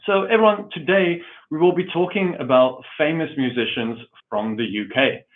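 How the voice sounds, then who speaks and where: A man from South Africa, a program coordinator, giving a formal, measured welcome in an online webinar. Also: fairly high pitch. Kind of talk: webinar presentation. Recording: tinny phone-like online audio.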